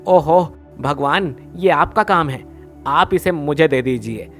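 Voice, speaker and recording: A man's voice: a little high, slow, fairly bright tone, faint music behind. A man playing a greedy village thief in a devotional story, brash, colloquial and grumbling. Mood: bargaining.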